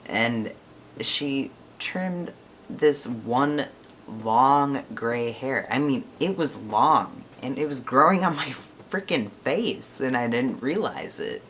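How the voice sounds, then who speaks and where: Young guy in his 20s rambling on his vlog, laughs a lot, mid pitch. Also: lively intonation, takes it slowly, fairly bright tone, a little hiss or hum. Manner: chatty, rambling, laughs often. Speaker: vlogger. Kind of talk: casual chat to camera.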